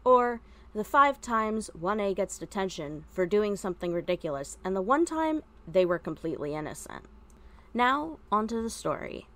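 A woman's voice: mid-range voice, lively intonation, normal speed, fairly bright tone. A woman reading a story aloud as scripted narration. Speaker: fan fiction podfic reader.

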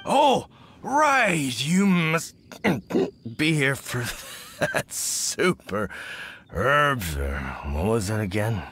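A man playing a tipsy, jolly middle-aged hunter father in a video game, rambling in halting, slurred speech. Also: mid-range voice, sing-song, fairly bright tone, slightly rough, full character voice. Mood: tipsy, muddled, fond. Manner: slurred, halting drunken delivery with hiccups. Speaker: game character voice actor. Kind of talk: video game character dialogue.

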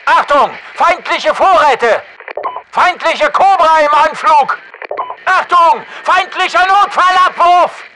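A soldier on a noisy radio, calling out warnings for a military video game. The voice is pitched very high for a man, with measured, slow delivery. Each report is clipped and alert. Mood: urgent warning.

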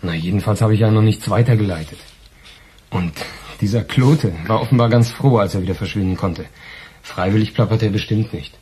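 A man's voice: fairly low pitch, a little fast, mellow, a little hiss or hum, lightly acted. An actor playing a dutiful police officer in a radio crime drama, reporting to his chief in a dry, official manner. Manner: dry, official, matter-of-fact. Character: dutiful male police subordinate, matter-of-fact and loyal. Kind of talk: naturalistic German radio crime drama acting.

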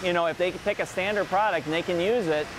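A man's voice: high-pitched, quick pace. A middle-aged man with an American accent gives a casual, unscripted factory tour explanation, conversationally checking that his listener follows. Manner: conversational, often checking with "right?". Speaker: company representative giving a factory tour. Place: large indoor factory floor. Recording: noisy factory floor with machinery hum.

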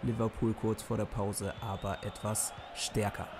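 Hoarse guy doing football recap commentary, bit of fry in his voice, lively. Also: lowish voice, a little slow, noisy background. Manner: lively play-by-play summary. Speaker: host commentating simulated matches. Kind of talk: match summary commentary.

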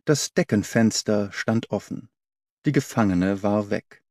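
A man's voice: fairly low pitch, measured pace, a little mellow, no echo, studio quality. A man reading scripted dramatic narration as an audiobook narrator. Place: dry studio.

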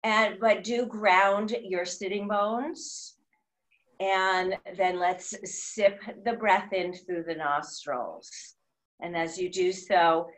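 A woman speaks as a meditation and yoga teacher, very slowly and a little low in pitch. Her phrasing is gentle and measured, with room left between instructions. The delivery is soothing, made for guiding breath and relaxation. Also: rough recording. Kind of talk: guided meditation.

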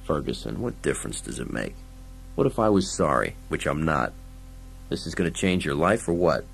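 Man voicing a gruff, low, deadpan lawman. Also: normal speed, slight background noise, full character voice, low-quality recording. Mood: weary, dry. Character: stern, terse, hard-boiled male lawman, weary and unbending. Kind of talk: dramatised audiobook science-fiction dialogue.

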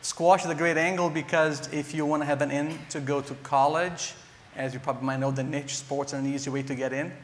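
Brazilian man, halting, echoey hall. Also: on the high side, fairly even intonation, a little hiss or hum. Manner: earnest, halting, frequent ums and restarts. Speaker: panelist, nonprofit director. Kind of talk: conference panel talk. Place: large conference hall stage. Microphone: lapel mic. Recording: echoey conference hall reverb.

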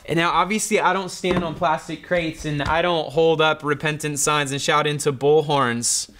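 A man's voice: a little high, steady tone, moderate pace, fairly bright tone, a little hiss or hum. A man in his thirties, a pastor, preaching a sermon in an earnest, conversational storytelling manner. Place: dark studio, indoors.

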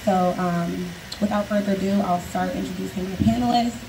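A woman's voice: mid-range voice, steady tone, fairly quick, noisy background. A woman in her thirties, an event founder, speaking friendly and conversationally into a handheld mic at an outdoor garden event. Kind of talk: addressing an outdoor event crowd.

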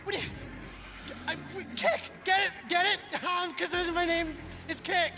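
Shrill nerdy wannabe hero, frantic. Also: male voice, steady tone, measured pace, music in the background. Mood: nervous, pleading. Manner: stammering, boastful, frantic. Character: nerdy, jealous young male wannabe hero, insecure braggart. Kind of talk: video game cutscene acting.

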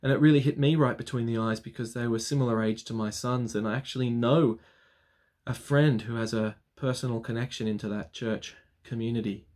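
A clergyman in his thirties speaks at his desk in a small study lined with bookshelves. His voice sits at an ordinary pitch for a man. He talks earnestly to his viewers and settles into a solemn, measured prayer cadence. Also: steady tone, a little mellow. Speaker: Anglican clergyman. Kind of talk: vlog talk leading a spoken prayer. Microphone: webcam framing at desk.

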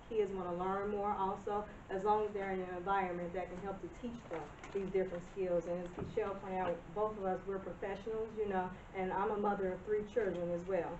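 A woman's voice: medium pitch, steady tone, a little fast, darkish tone. A woman in her thirties stands before a village board to present a proposal. She is nervous, restarts her sentences and laughs at herself. Her voice comes from across a roomy meeting hall with faint hiss. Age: 30s. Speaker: business presenter. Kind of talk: public comment at a meeting. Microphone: far camera. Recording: distant roomy lo-fi meeting video.

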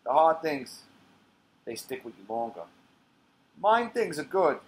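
A man's voice: fairly high pitch, very animated, unhurried, rough recording. A man reading a stage play, voicing a tired, streetwise old bar regular in short, blunt, profane phrases. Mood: reflective. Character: tired, profane, streetwise old male barfly rambling to strangers. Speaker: playwright reading his own play. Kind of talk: dramatic monologue reading.

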